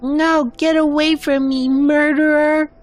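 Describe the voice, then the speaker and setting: A woman's voice: sits high, level tone, slow, soft background music. A woman voicing a grieving, accusing young girl in a game story. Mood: anguished, accusing. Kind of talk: narrated game cutscene character.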